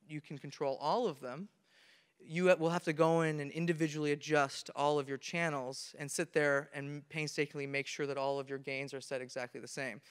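A man's voice: on the high side, fairly bright tone, smooth. Young man presenting in a big, echoey hall. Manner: earnest, explains step by step with many restarts. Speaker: conference presenter. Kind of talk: technical talk on stage. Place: large conference hall with audience. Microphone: handheld mic. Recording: reverberant hall from a camera.

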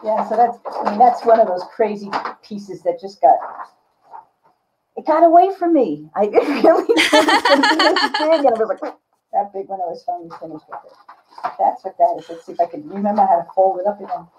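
An older woman with a husky voice, chatting casually and informally as an artist on a video call. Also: medium pitch, animated, brisk, noisy background. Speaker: visual artist, guest. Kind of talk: casual chat explaining own artwork. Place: book-lined home studio, indoors. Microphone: computer mic on a video call.

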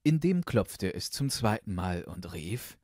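A man's voice: fairly low pitch, sing-song, unhurried, a little mellow, no room sound, clean studio sound. A man reading a fairy tale as a scripted audiobook narrator.

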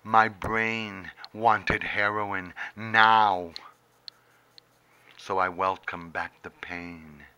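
A middle-aged man performs spoken-word poetry in a low, very forceful voice. He goes extremely slowly, leaving long pauses between short phrases. His delivery is intense and theatrical, recorded up close in a room. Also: fairly even intonation, clear, resonant tone. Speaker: poet reading own poem. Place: indoors, small book-lined room. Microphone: handheld camera very close to face.

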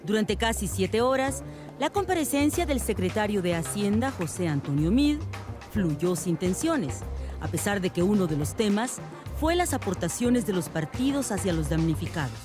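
A woman's voice: medium pitch, very animated, normal speed. Woman reporter narrating over music. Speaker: news reporter. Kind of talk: scripted news voice-over.

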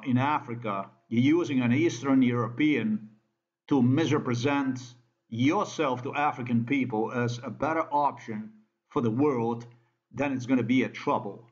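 A man with a Slovenian accent talks over a screen recording in English, his second language. He speaks slowly, halting and restarting often with plenty of fillers. The sound is dull and lo-fi. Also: medium pitch.

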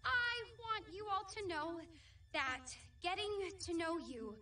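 An actress playing an earnest young demon princess who gives sincere, emotional speeches in an animated series. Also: female voice, extremely high pitch, takes it slowly, full character voice. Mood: heartfelt.

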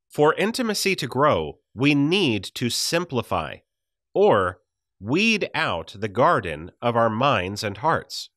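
A man's voice: mid-range voice, a bit bright, dry recording. Guy reading a devotional audiobook, takes his time, careful delivery, really dry sound. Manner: measured, deliberate enunciation. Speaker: audiobook narrator. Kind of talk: scripted devotional audiobook narration.

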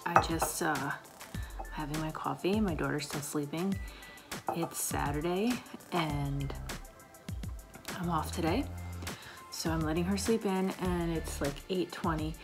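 A woman in her thirties talks loosely and chattily at her kitchen table, her voice low and a bit raspy. Plenty of fillers and restarts. Background music runs clearly under her voice. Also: measured pace. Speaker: vlogger, paramedic. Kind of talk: casual coffee chat to camera. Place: home kitchen, indoors.

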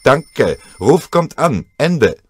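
A man voicing a brisk military field telephone operator with clipped, formulaic delivery in a war audiobook. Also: medium pitch, big pitch swings, husky, dry recording, pitched above the performer's own voice, full character voice. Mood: brisk, routine.

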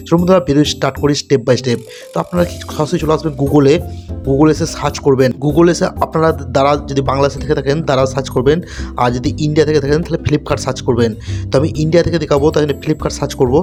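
A man narrating a step-by-step tech tutorial, repetitive and insistent as he addresses viewers as friends. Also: on the high side. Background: background music.

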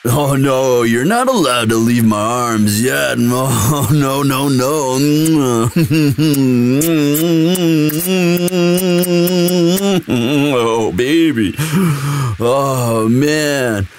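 Man acting a slow-talking, sweet himbo boyfriend. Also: medium pitch, soft background music. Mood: tender, teasing. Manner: slow, dude-ish drawl full of likes and sighs. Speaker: audio roleplay performer. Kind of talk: intimate boyfriend roleplay, casual chat.

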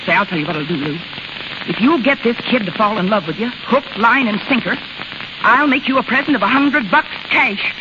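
A woman's voice: fairly high pitch, animated, fairly quick, natural voice. An actress playing a jilted, scheming carnival woman in slangy American 1930s radio drama. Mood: scheming, coaxing. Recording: muffled, hissy, crackling 1930s radio recording.

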